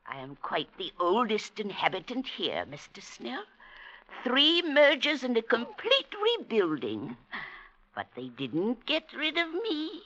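An actress playing an imperious, querulous matriarch past eighty in an old-time radio drama, speaking in a slow theatrical way. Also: female voice, a little high, huge pitch swings, a bit bright, heavily acted. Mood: haughty. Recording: dull vintage radio recording.

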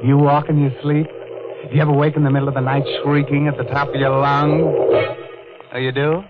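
An actor playing a ghoulishly jolly horror host, theatrical and tongue-in-cheek, in 1940s radio style. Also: male voice, on the high side, level tone, moderate pace, lightly acted. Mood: ghoulishly playful. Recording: dull old radio recording, music behind.

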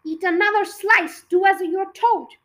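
A woman doing a shouting, bullying headmistress. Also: extremely high pitch, fairly even intonation, a little fast. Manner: barking orders, shouting. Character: bullying, furious female headmistress, big and harsh.

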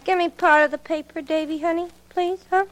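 A woman's voice: extremely high pitch, steady tone, fairly quick, background noise. An actress playing a crook's needy girlfriend in a late 1940s radio drama, slangy, wheedling and petulant, on an old recording.

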